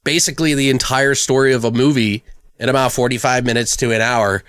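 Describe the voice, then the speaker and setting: A man's voice: medium pitch, fairly even intonation, fairly quick, fairly bright tone, clear, resonant tone, no echo, studio quality. A man hosting a podcast in casual banter, informal, joking and freely swearing. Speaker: podcast host.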